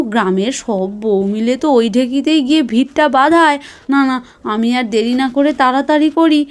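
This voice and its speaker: A high-pitched village wife and mother played by a woman from a doll cartoon. She is harried and devout, nagging and exclaiming as she repeats her phrases. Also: a little mellow. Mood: busy musing. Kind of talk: Bengali doll cartoon voice acting.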